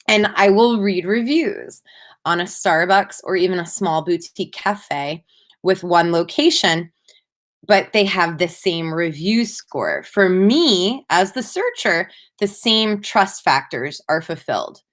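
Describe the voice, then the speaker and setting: A woman with a slightly low voice presents as a conference speaker. Her delivery is prepared and measured, sounding read from a script, and she leans on key points as she lays them out. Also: a bit bright. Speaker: conference speaker, digital marketing professional.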